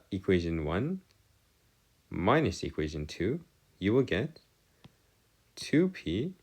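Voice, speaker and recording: A man giving a step-by-step math lesson as a tutor, halting and pausing to check himself, with formal, bookish wording. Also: low-pitched. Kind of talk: lesson, working through equations step by step.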